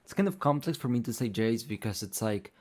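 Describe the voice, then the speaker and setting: A man's voice: medium pitch, animated, fairly quick, a little mellow. A young man whose first language is Spanish, talking casually and loosely through a tutorial as a music producer, with lots of fillers. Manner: rambling, loose, many fillers like "like" and "um".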